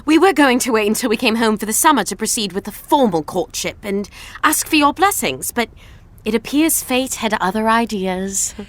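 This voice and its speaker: A woman's voice: a little high, lively intonation, normal speed, natural voice. An actress playing a studious, insistent young woman in a romantic comedy audio drama, wry and a little flustered in her confidence. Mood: polite. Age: young adult. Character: brisk, bossy, studious young woman scheming fake engagement.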